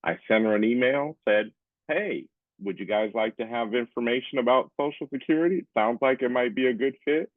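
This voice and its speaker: A man's voice: medium pitch, brisk, no echo. A man in his forties giving an informal webinar talk in a conversational way, often checking in with his listeners. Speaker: presenter, public affairs specialist. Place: small room at home, indoors. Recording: muffled phone-like webcam audio.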